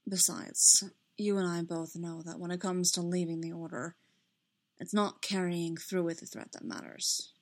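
A woman voicing a restrained, self-reproaching man in a story reading, with a quiet, measured, dryly formal manner. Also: on the low side, little pitch movement.

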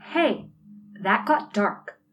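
Timid, eager male detective, played by a woman: mid pitch, earnest, off-mic with background noise. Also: lively intonation, very slow, fairly bright tone, recorded from a distance. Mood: earnest, awed.

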